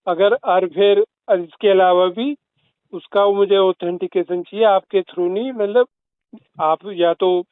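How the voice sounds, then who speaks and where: A man's voice: high voice, steady tone, slow pace, smooth, dry recording. A man on a phone call, arguing his side firmly and insistently, repeating his points. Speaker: caller in a leaked phone call. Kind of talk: phone conversation. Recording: tinny phone line.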